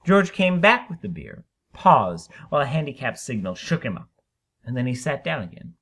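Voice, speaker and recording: Male narrator for dramatic short fiction: bright, forceful, widely varied pitch, emphatic phrasing. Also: very animated. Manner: theatrical, emphatic, deliberate phrasing. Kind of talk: dramatic short story reading.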